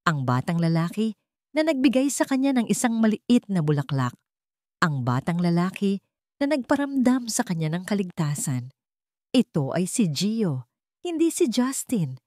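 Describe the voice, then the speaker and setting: A Filipino woman narrating a scripted romantic drama in a measured, melodramatic storytelling manner. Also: medium pitch, very animated, mellow, no room sound, clean studio sound.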